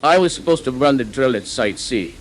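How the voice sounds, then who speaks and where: An actor playing a skeptical, seasoned drill operator giving conversational testimony in a horror tape. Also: male voice, mid-range voice, expressive, brisk, close to an everyday voice, poor audio. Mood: skeptical, wry.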